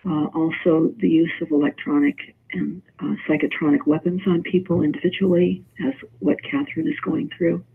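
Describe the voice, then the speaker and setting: A man speaking as a guest in a video call roundtable, halting and filling pauses often. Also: high-pitched, very animated, vocal fry, slightly rough. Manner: halting, lots of uh and um. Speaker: panel guest. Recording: tinny phone-like call line.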